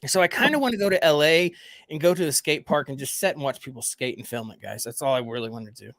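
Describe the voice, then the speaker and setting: A man's voice: fairly high pitch, quick pace, a bit bright, dry recording. Rambling Southern man chatting with viewers. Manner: rambling, repeats himself, addresses viewers as guys. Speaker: podcast host. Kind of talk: casual livestream chat.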